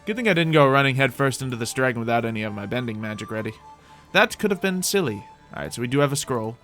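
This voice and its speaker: A man's voice: medium pitch, expressive, quick pace, a bit bright, faint music behind. A man giving playful, improvised gameplay commentary as a host, thinking aloud to viewers while roleplaying. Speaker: gaming host playing a roleplay character.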